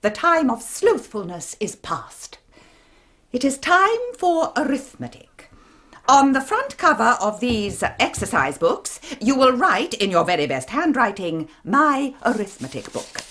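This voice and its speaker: Stern, swooping Victorian governess. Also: female voice, on the high side, sing-song, a little slow, bright, forceful tone, heavily acted. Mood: stern, scolding. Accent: English. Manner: commanding, crisply formal, theatrical scolding. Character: stern, pompous Victorian governess, cold and strict. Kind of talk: 1990s radio drama acting.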